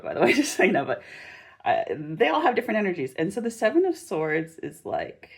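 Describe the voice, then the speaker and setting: A woman hosting a casual, chatty tarot reading in a gentle, encouraging and informal manner, with a slightly husky voice. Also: low voice. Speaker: tarot reader and channel host.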